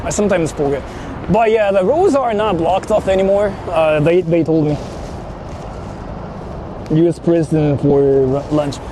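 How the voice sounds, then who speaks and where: Guy rambling on a street livestream, kinda high voice, informal n sweary, traffic noise behind him. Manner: informal, swearing, repeats himself. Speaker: livestreamer hosting an outdoor stream. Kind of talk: casual rambling livestream chat. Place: outdoors on Tokyo streets. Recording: noisy city street with passing traffic.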